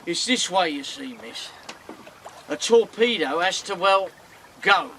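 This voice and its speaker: A man's voice: high voice, animated, slow pace, pushed and bright, a little hiss or hum, exaggerated voice, rough recording. A folksy boatman. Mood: grumbling. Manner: plainspoken, folksy, grumbling. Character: gruff, boozy river boatman. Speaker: actor. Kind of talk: radio drama acting.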